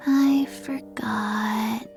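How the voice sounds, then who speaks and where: Tiny forgetful game girl, high voice, talks sooo slow and halting, deadpan. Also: vocal fry, over music, full character voice. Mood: earnest, forgetful. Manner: halting, very slow, flat delivery. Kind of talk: video game voice acting.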